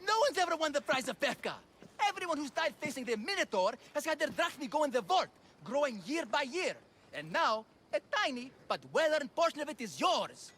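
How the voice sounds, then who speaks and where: A man's voice: very high voice, sing-song, a little fast, a bit bright, a little hiss or hum, full character voice. An actor playing a sheepish, blustering father in a video game, a theatrical showman with a cowardly streak. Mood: showy, wheedling. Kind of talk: video game cutscene acting.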